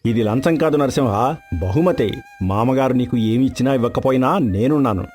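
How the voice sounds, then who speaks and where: An actor playing a greedy, arrogant, hot-tempered son-in-law in a dramatized folk tale, domineering and threatening. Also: male voice, mid-range voice, moderate pace, darkish tone, faint music behind, natural voice. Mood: scheming, coaxing.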